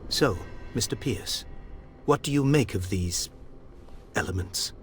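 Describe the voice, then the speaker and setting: A man playing a proud, grieving elderly gentleman who speaks formally and earnestly in a video game. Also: lowish voice, animated, slow, slight background noise. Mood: pleading, formal. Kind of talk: dramatic video game dialogue.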